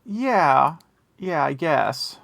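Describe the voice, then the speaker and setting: A man's voice: a little high, animated, extremely slow, gravelly, a bit bright, dry recording. An American man co-hosting a podcast in casual chat, wry and opinionated. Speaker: podcast co-host.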